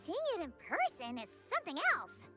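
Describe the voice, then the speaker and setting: A woman voicing a small, excitable childlike fairy companion in a video game, squeaky and chirpy. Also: a little fast, faint music behind, full character voice. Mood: amazed, curious. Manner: chirpy, speaks of self in third person.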